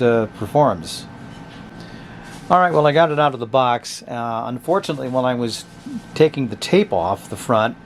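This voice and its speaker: Casual man reviewing gadgets, noisy background hum. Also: mid-range voice. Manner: hesitant, halting, conversational. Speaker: host of a vintage radio review channel. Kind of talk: casual unscripted product review narration.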